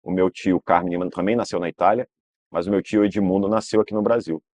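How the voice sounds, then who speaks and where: A man's voice: low voice, steady tone, a little fast, darkish tone, no echo. A Brazilian man, a guest in an interview telling long family stories, rambling and restarting with frequent tag questions. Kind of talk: relaxed storytelling interview.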